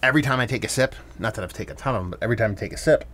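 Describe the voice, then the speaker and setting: A man hosting a casual beer review, talking in a relaxed, rambling way with plenty of fillers. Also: lowish voice, very fast, a little hiss or hum.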